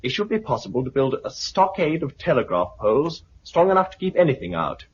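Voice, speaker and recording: An actor playing a mild, thoughtful British survivor in 1950s radio drama style, measured and tentative. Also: male voice, medium pitch, sing-song, lightly acted. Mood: mild, earnest. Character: mild, thoughtful male survivor full of suggestions. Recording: muffled, noisy 1950s radio recording.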